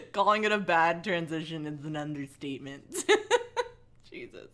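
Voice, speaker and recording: Woman, livestream read-aloud host, very lively intonation, sharp bright tone, sarcastic asides, giggly, sweary. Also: lowish voice, slow. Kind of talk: cold reading with mocking asides.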